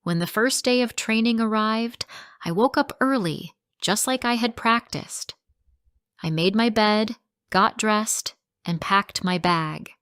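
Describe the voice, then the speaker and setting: A woman reading a simple first-person story for English learners, in slow, clearly spoken sentences. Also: mid-range voice, fairly bright tone, dry recording, studio quality.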